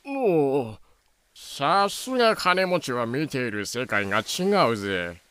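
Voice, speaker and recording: An actor playing a rough, casual, drawling man in a pirate audio drama. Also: medium pitch, big pitch swings, takes it slowly, bright, forceful tone, exaggerated voice. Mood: impressed.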